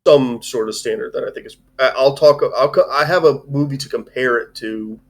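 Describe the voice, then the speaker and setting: A man co-hosting a casual live movie discussion, talking in a halting, rambling way with plenty of swearing. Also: medium pitch. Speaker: co-host reviewing a film. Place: live online stream.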